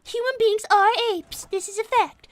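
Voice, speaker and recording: A woman's voice: extremely high pitch, moderate pace, dry recording. A woman voicing a naive young girl rabbit who speaks formally, earnestly and theatrically in an improvised comedy roleplay. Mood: matter-of-fact. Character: Cream, prim naive young girl rabbit, dramatically indignant.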